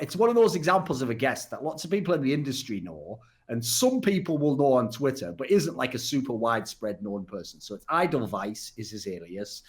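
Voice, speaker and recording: Man, podcast host, blunt, sarcastic, sweary, casual, medium pitch. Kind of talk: casual talk show hosting.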